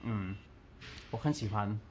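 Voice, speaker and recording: A man chatting as a guest on a video call, speaking haltingly with many fillers. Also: on the low side, heavy background noise. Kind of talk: casual multilingual chat.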